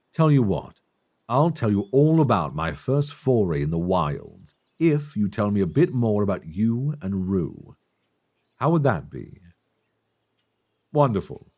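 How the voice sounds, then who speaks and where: A man voicing a good-humored, teasing elf hunter in a soft ASMR fantasy roleplay, close to a binaural microphone. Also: fairly low pitch, very animated, slow, long pauses, heavily acted. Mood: friendly, easygoing. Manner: playful, gently instructing, chuckling often. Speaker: voice actor in audio roleplay.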